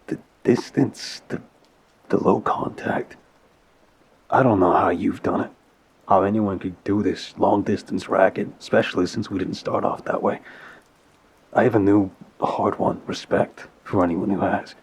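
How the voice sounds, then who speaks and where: A man's voice: sits low, steady tone, slight background noise. A man acting an apologetic, lovesick mafia boss in an intimate audio roleplay, speaking hesitantly and sincerely with sighs. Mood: flustered, apologetic. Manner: halting, hesitant, sighing, sincere.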